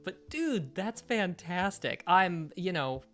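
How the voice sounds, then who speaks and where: A man's voice: high-pitched, sing-song, slow, fairly bright tone. Casting note: man, podcast host, showy playful announcer style, music faintly underneath. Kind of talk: energetic podcast banter.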